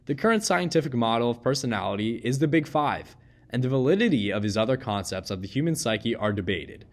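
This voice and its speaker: A man reading a scripted educational narration in a measured, explanatory way. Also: medium pitch, lively intonation.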